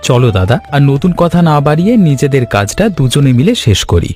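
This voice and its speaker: A man voices a hardworking cartoon farmer, an earnest and agreeable friend. His voice sits at a medium pitch, with light music behind it. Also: big pitch swings, fairly quick, a little mellow, natural voice. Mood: earnest, hopeful. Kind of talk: Bengali cartoon voice acting.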